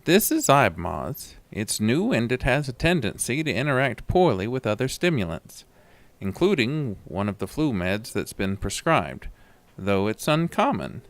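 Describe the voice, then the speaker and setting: A man's voice: medium pitch, big pitch swings, a little slow, no room sound, pitched above the performer's own voice. A man voicing a concerned pharmacist who gives emphatic, cautioning instructions in an audio horror drama. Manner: alarmed, louder, emphatic warnings. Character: concerned, cautioning male pharmacist behind a counter.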